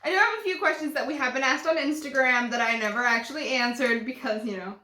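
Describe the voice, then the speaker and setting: A woman's voice: high-pitched, fast talker, sharp tone, roomy sound. A woman in her early twenties chatting casually at home in her living room, rambling and laughing often. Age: 20s. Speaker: vlogger. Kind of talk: casual chat.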